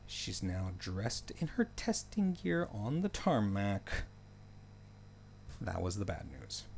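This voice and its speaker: A man's voice: medium pitch, gravelly, a little mellow, a little hiss or hum. A man narrating a story recap in a casual, loosely scripted way, with wry joking asides.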